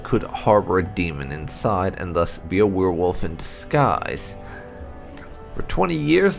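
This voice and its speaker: Man reading lore with lively, swooping pitch. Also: gravelly. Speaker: gaming channel host. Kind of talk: reading game lore aloud as narration. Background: background music.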